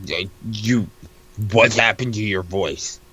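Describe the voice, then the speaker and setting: Male comic reader; lowish, sharp-edged voice, mock-formal improvised banter. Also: slow. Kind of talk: comedic character reading, improvised.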